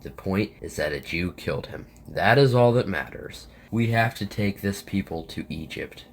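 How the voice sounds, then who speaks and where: A man's voice: low-pitched, expressive, moderate pace, vocal fry, a little hiss or hum. A man acting a stern, resolute military captain burdened by guilt, with a commanding manner, in an amateur audio drama. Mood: grave, firm.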